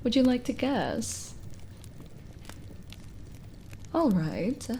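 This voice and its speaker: A woman playing a lonely, kindly witch in an intimate ASMR roleplay, speaking softly and breathily with a gentle teasing manner, often near a whisper. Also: on the high side, sing-song, gravelly, slight background noise, heavily acted. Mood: coy, flattered. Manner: slow, hesitant, gently teasing.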